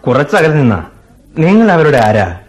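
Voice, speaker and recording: A man's voice: a little high, very animated, unhurried, soft background music. An actor playing a courteous, inquisitive Malayali stranger in a radio drama, feeling his way through questions. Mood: polite, probing. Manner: courteous, questioning, hesitant openers. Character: polite, inquisitive male stranger making enquiries.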